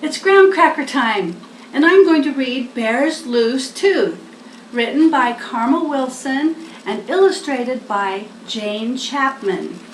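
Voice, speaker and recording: High-voiced older woman reading slowly to children. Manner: deliberate, expressive, talking to small children. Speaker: storybook reader, host. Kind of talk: children's picture-book read-aloud. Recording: echoey room, distant mic, faint noise.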